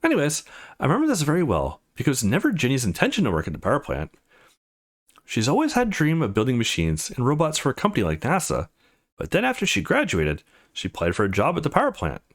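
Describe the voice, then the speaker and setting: A man voicing a friendly, caring adult woman from a visual novel, chatty and gently concerned. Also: medium pitch, wildly swooping pitch, quick pace. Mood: reminiscing.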